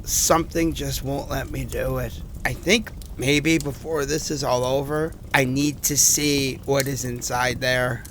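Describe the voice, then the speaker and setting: A man's voice: mid-range voice, a bit bright, a little hiss or hum. A man acting a frightened, exasperated man who records rambling personal messages in a haunted-house audio drama. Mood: weary, uneasy. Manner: conversational, rambling, exasperated, incredulous.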